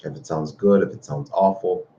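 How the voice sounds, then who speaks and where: A man hosting a casual live stream, talking in a halting way with many fillers and restarts while showing books. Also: low voice, mellow, lo-fi sound, soft background music.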